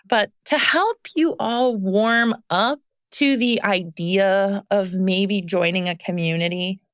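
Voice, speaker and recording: A woman's voice: medium pitch, fairly bright tone, no echo, studio quality. A woman hosting a podcast as a recovery coach, talking in an earnest, encouraging way. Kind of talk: scripted podcast monologue.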